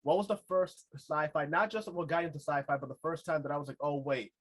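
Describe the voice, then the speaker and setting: A man's voice: a little high, fairly even intonation, fairly quick. A man hosting a casual podcast interview, rambling through his points with lots of filler words. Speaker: podcast host.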